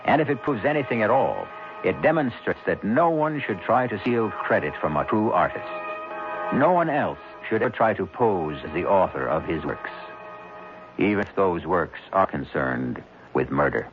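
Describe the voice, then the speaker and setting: A man with a fairly low voice hosts a radio mystery in a theatrical, sardonic style. His pitch rises and falls dramatically. Music plays clearly behind him on a dull 1970s recording. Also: big pitch swings, moderate pace. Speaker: host. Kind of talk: scripted radio mystery narration.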